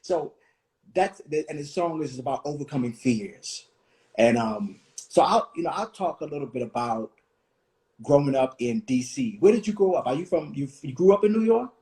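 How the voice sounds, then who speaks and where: A man's voice: on the high side, lively intonation, moderate pace, dark tone. Reflective man in his forties. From Washington, D.C. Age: 40s. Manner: thoughtful, many fillers and restarts. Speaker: host. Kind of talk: reflective conversation.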